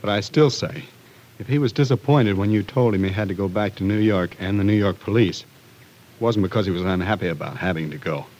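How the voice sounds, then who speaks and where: Man acting suspicious small-town brother, lowish pitch, blunt, scoffing, insistent, 1940s radio drama. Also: fairly quick, darkish tone, close to an everyday voice, poor audio. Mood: skeptical. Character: distrustful, stubborn small-town brother warning his sister.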